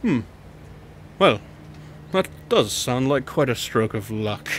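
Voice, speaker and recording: A man's voice: mid-range voice, animated, takes it slowly, slight background noise. A man playing a confident, wryly impatient detective in a radio drama, asking pointed, probing questions.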